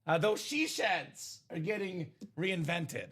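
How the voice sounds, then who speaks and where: A young man from Bulgaria hosting a live sports card break stream, upbeat and joking, shouting out buyers by name. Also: high voice, takes it slowly, husky. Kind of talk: casual live-stream sales chat.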